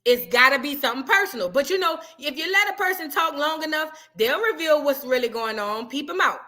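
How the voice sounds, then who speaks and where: A woman's voice: sits high, animated, fairly quick, pushed and bright. A woman giving blunt, questioning commentary as she reacts to a clip.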